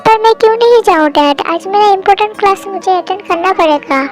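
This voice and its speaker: A young reader playing a headstrong college girl who argues back and pleads, with a very high, thin voice. The piece sounds like a home-made audio drama, with faint music behind. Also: brisk. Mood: defiant. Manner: defiant, pleading.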